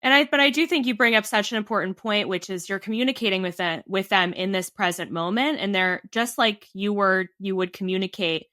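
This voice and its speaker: A woman's voice: medium pitch, fairly quick, hardly any pauses, bright, forceful tone, no echo, studio quality. A woman hosting a spiritual podcast, enthusiastic and affirming toward her guest. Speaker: podcast host. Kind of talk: casual podcast interview.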